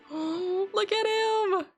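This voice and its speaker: A woman game streamer chatting casually and reacting live in an animated, expressive way. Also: extremely high pitch, very slow. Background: game music.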